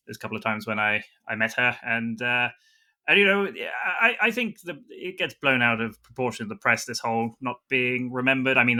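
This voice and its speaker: Male, acting as a defensive luvvie in a spoof interview, very sharp bright tone, stumbling delivery. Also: medium pitch. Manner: halting, stammering, defensive. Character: insecure male actor from a famous dynasty, defensively self-important. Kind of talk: improvised mockumentary interview.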